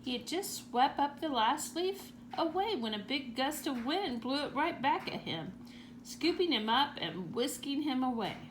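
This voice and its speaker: A woman with a fairly high voice narrates a children's storybook in an animated way. Clear background noise sits behind her reading. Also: measured pace, rough recording. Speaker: storybook reader.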